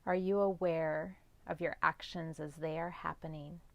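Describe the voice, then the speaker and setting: A woman guides a yoga nidra meditation in a lowish voice with noticeable creak. She speaks very slowly and gently, leaving long pauses between measured instructions. The sound is close and dry. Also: steady tone, dry recording, highs cut off.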